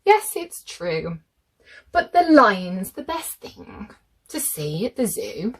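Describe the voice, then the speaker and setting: A woman's voice: medium pitch, sing-song, slow pace, slightly rough, heavily acted. A woman voicing an opinionated zoo visitor in a children's storybook, declarative and emphatic. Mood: admiring.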